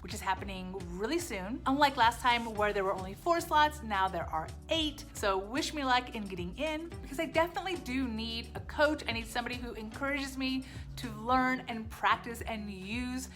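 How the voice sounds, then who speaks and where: A woman with a slightly higher voice vlogs casually, frank and self-mocking, often repeating short phrases for emphasis. Her first language was Japanese. Soft music plays underneath. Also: moderate pace, few pauses. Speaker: vlogger. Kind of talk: casual vlog reflection.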